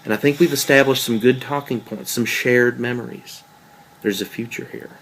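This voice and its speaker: A male actor plays a wry, jealous boyfriend in a two-hander stage drama. He talks in a natural, conversational way at a middle pitch. His delivery is wordy and questioning, needling yet insecure. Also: moderate pace, fairly bright tone, poor audio. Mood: reflective, uncertain. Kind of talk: table read of a two-person stage play.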